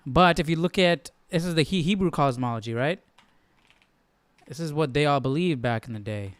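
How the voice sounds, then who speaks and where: Man, study host, slightly high pitch, conversational, questioning, thinking aloud, dry close recording. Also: moderate pace, smooth, dry recording. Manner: conversational, questioning, repeats himself for emphasis. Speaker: host of a live Bible study. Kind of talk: casual live commentary, thinking aloud.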